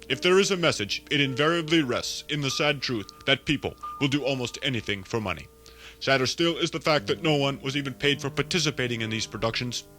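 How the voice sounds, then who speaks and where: A man playing a disdainful radio drama host, pompous and deadpan in mock-serious scripted narration. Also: mid-range voice.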